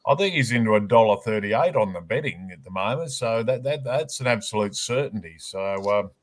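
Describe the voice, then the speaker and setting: A man's voice: on the low side, animated, fairly quick. A man, a guest on a casual football chat show, talking in a blunt, wry way.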